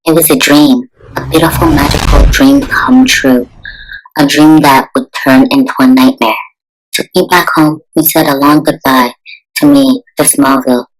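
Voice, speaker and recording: A woman's voice: very deep voice, steady tone, moderate pace, low-quality recording. An actress playing a wistful, heartbroken small-town sweetheart, telling her story in a dramatic motion comic style. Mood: bittersweet, longing.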